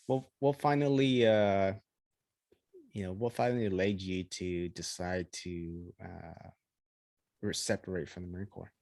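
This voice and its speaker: A man hosts a podcast in a relaxed, easygoing way, asking questions with lots of fillers and restarts. His voice is recorded close and dry. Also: sits low, measured pace, no room sound. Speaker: podcast host. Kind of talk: casual interview hosting.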